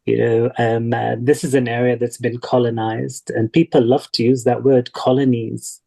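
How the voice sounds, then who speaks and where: A man's voice: mid-range voice, flat delivery, gravelly, mellow. Man w on a video call, reflective interview, thinks as he talks, repeats for emphasis. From Kuwait, of Palestinian parents. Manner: thoughtful, deliberate, with repetition for emphasis. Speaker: interview guest. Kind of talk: reflective personal interview talk.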